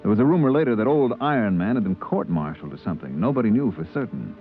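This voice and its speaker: An actor playing an easygoing spaceship purser in a 1950s radio drama, with a colloquial manner. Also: male voice, lowish voice, lively intonation, quick pace, natural voice. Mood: reflective narrating. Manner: colloquial, hesitant in dialogue. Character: easygoing spaceship purser recounting his voyages. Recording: tinny vintage radio broadcast, music behind.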